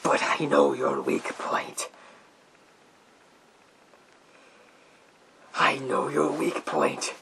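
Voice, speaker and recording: Casting: male performer for menacing masked psychic villain, ASMR roleplay. Whispery, creaky, extremely slow, theatrical. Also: medium pitch, heavily acted, rough recording. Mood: menacing, gloating. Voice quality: breathy, whispery. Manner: slow, drawn-out, theatrical delivery. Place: small room lined with bookshelves. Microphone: speaking through a gas mask, close to camera.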